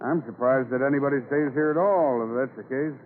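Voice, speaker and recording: An actor playing a curious, skeptical visitor to a frontier town in an old radio drama, mild and questioning. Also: male voice, medium pitch, fairly quick, natural voice. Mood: incredulous. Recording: scratchy, narrow-band old radio recording.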